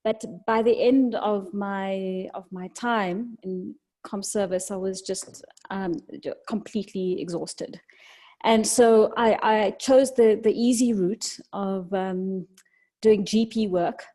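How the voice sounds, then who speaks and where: A woman from South Africa with a South African accent, speaking as a doctor and podcast guest in a reflective, halting conversational way. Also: mid-range voice, steady tone, dark tone.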